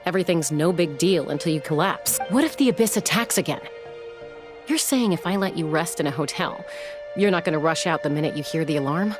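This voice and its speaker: A woman's voice: sits low, animated, a little fast, music in the background. A woman playing a serious, protective young female warrior in a video game, level-headed and no-nonsense. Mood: exasperated.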